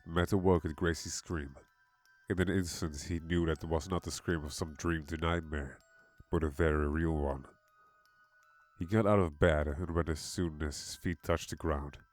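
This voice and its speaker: A man's voice: extremely low pitch, steady tone, moderate pace. A man giving a dramatic scripted narration of a horror story. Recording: music behind the voice.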